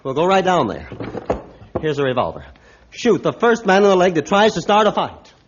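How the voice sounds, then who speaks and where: An actor playing a stern, authoritative ship's captain in a 1950s radio drama, speaking formally and emphatically. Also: male voice, high voice, sing-song, normal speed, a little hiss or hum. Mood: urgent command. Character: stern, commanding male ship's captain, scornful of fools. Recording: dull, lo-fi old radio recording.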